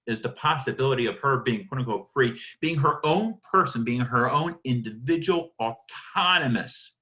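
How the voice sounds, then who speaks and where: Male literature lecturer. Sharp, bright delivery, emphatic and theatrical, asks and answers his own questions. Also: medium pitch, lively intonation, moderate pace, muffled recording. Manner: emphatic, dramatic, rhetorical questions answered by himself.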